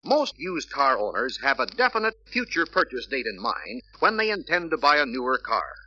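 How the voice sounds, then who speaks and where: An American man reading scripted sales-training narration in an emphatic, deliberate, persuasive way on a vintage film soundtrack. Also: a little high, bright, forceful tone. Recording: dull vintage filmstrip soundtrack, faint hiss.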